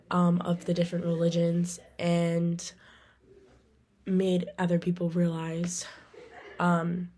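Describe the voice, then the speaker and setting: A woman presents information in a lowish, mellow voice with very little melody. She is halting, pausing often and filling gaps with ums. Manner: halting, with frequent ums and pauses. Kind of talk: semi-scripted informational presentation.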